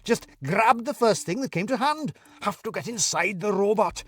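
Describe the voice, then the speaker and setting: A man voicing a frantic middle-aged man who rambles excitably about robot conspiracies, in a storybook reading. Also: high voice, normal speed, voice pushed up. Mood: feverish.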